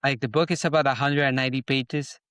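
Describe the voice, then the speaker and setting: A man from Venezuela with a Venezuelan Spanish accent, a podcast host who speaks in a halting way, restarting and repeating words. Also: medium pitch, steady tone, a bit bright, smooth, no echo, studio quality. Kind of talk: casual interview conversation.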